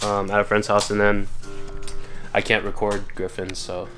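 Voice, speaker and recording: Young male vlogger, mid-pitched, playful slangy chat, music clearly playing behind. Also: monotone, moderate pace. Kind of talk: casual vlog chat. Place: living room at home. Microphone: handheld camera with mounted mic.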